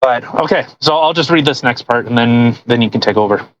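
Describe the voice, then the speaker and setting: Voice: medium pitch, brisk, dry recording, muffled recording. A podcast host reading aloud and commenting casually, blunt and argumentative, with plenty of swearing.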